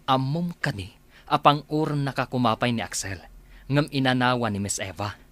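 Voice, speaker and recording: A man's voice: medium pitch, normal speed, a bit bright, lightly acted. Coaxing, easily flustered man, acted out. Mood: scolding, explaining. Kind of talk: radio drama acting.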